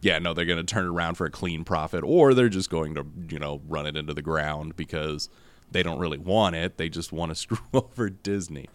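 A man hosting a casual news chat podcast, hoarse from losing his voice, rambling with fillers and frequent laughs. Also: sits low, fairly quick, no room sound. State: hoarse, lost voice. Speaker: podcast host.

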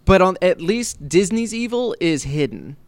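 A man's voice: sits high, big pitch swings, normal speed, dry recording. A man co-hosting a casual podcast chat, blunt and sarcastic in his opinions. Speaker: podcast co-host.

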